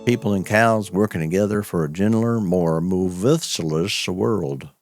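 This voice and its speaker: A man's voice: low voice, unhurried, a little mellow, faint music behind. A man hosting and narrating a cowboy audio show in a folksy, homespun way.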